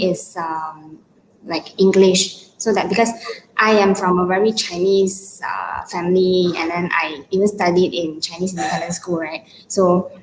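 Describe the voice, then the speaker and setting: Hesitant Malaysian woman, low voice. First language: Mandarin. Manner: hesitant, many fillers and restarts. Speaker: former student guest. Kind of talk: casual interview answers. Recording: echoey room with faint noise.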